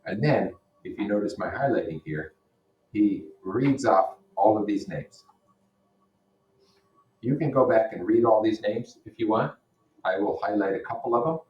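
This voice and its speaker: A man's voice: fairly low pitch, normal speed, long pauses, dull audio. A man reading a novel aloud as a teacher and explaining it as he goes, often putting questions to his listeners. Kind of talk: read-aloud with explanatory comments. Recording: roomy echo, distant microphone.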